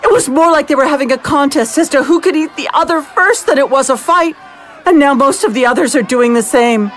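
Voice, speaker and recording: A woman's voice: high-pitched, brisk, slight background noise, lightly acted. A woman playing a veteran war correspondent who is losing her composure during a field report in an audio drama. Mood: mounting hysteria. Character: veteran female war correspondent unraveling into madness.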